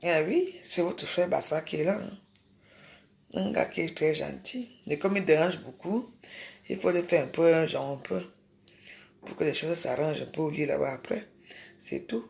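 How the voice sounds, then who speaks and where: A woman's voice: deep voice, moderate pace, creaky, darkish tone. A woman hosting a casual livestream, talking emphatically to her viewers, repeating herself and scolding them. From Cameroon. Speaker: livestream host.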